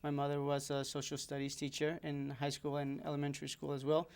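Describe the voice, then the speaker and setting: A man's voice: on the high side, completely flat delivery, fairly quick, a little mellow, clear, resonant tone. A young man from Ecuador, a seminarian guest talking earnestly with many fillers and restarts.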